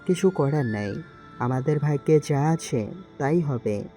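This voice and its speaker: Calm, dark-toned male gang boss played by an actor. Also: a little high, a little slow, soft background music. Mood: calm, fatalistic. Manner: calm, cryptic.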